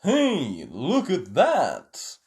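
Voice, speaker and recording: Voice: medium pitch, huge pitch swings, drawn-out words, a little mellow, heavily acted. A storyteller voicing a playful, mischievous young boy animal from a children's book, exclaiming and drawing words out. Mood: curious.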